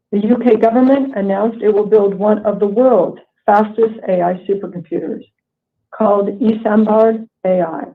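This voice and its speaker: Over a narrow, tinny phone line, a woman presents a corporate earnings report. She reads prepared remarks in a formal, measured way, as a company executive on a conference call. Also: mid-range voice.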